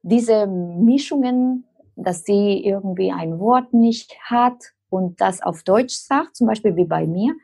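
Woman, Spanish native speaker, Spanish accent, gravelly voice, hesitant explaining. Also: medium pitch, dark tone. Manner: explains slowly with many fillers and restarts. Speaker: guest. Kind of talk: interview answer.

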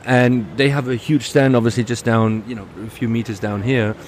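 A man's voice: medium pitch, little pitch movement, moderate pace. A man casually talking through a motor show visit, hesitant and full of repeats. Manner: hesitant, lots of fillers and repeats. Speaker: car show reviewer. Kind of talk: casual walkaround chat. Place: busy motor show hall. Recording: noisy show floor.

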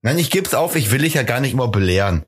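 A man with a mid-pitched voice trading casual banter on a podcast. He is dry and teasing, correcting his co-host. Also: big pitch swings, fast, husky.

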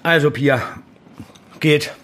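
A man's voice: a little high, slow, fairly bright tone, slight background noise. A man playing a brusque, probing handler of an undercover informant in a naturalistic audio drama, colloquial and prone to swearing. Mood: conspiratorial, irritated.

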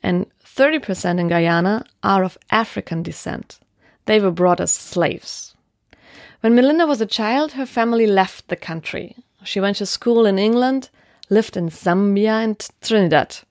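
Steady woman narrating a report. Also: mid-range voice, normal speed, no echo, clean studio sound. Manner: clear, steady, explanatory. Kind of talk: scripted documentary narration.

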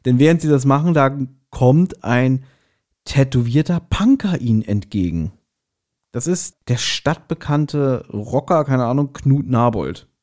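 A man hosting a podcast, casually retelling a story in an animated way and acting out short quotes. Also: medium pitch, unhurried. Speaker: podcast host. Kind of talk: casual chatty episode recap.

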